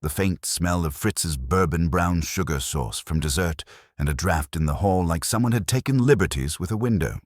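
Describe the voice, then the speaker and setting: A man's voice: sits low, expressive, moderate pace, no echo, clean studio sound. A man reading a scripted first-person detective audiobook in a wry storytelling manner.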